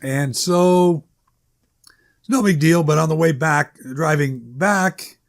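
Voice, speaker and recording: Rambling, halting man in casual talk. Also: on the high side, creaky. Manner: rambling, halting, self-correcting, hedging. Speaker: author introducing own short story. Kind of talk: informal unscripted introduction, casual talk.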